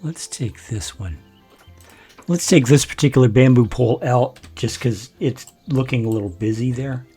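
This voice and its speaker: An older man with a naturally low voice, hosting a gameplay video and musing to himself in unhurried, relaxed commentary as he builds. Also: soft background music. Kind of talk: relaxed let's-play commentary.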